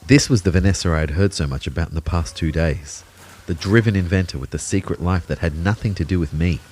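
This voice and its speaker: Man acting a very deep, wry narrator. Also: fast, a little mellow, slight background noise, close to an everyday voice. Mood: wry, reflective. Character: male narrator, self-deprecating everyman turned invisible ghost. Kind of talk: scripted first-person audio drama narration.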